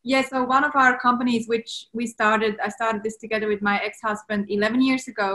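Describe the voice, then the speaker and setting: A woman in her late thirties from Switzerland with a Swiss accent, chatting as a guest over a video call from a home office, lively and quick to laugh. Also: fairly high pitch. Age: 30s. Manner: lively, laughs often, restarts sentences. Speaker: guest entrepreneur. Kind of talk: casual interview chat. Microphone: video call webcam.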